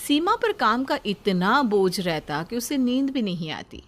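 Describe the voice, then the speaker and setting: A woman tells a scripted story as its narrator. Her pitch is a little high and moves about in a lively way. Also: a little slow.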